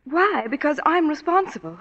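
A coy glamorous young wife. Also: female voice, high-pitched, lively intonation, moderate pace, fairly bright tone. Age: young adult. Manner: smooth, teasing, coy. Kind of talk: 1940s radio acting. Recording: dull 1940s radio broadcast.

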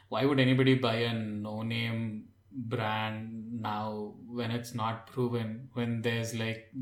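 Man talking casually in a roomy space. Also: lowish voice. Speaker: podcast panelist, tech reviewer. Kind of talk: casual tech podcast discussion.

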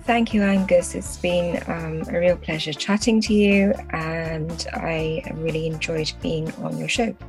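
A woman's voice: sits low, steady tone, a little slow, vocal fry, hardly any pauses, faint music behind. A woman speaking as a literary translator in an interview, reflective and hesitant as she searches for words.

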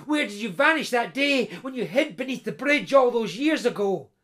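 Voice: mid-range voice, expressive, normal speed, a bit bright, lightly acted. A storyteller voicing an aging, grey, scarred blacksmith who stammers and repeats words as he pleads with his lost love. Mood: pleading.

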